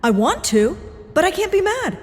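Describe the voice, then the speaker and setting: An actress playing an excitable, wry young female agent with an emphatic, exasperated manner, in an audio spy comedy. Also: extremely high pitch, moderate pace, big-room sound, music in the background. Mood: incredulous.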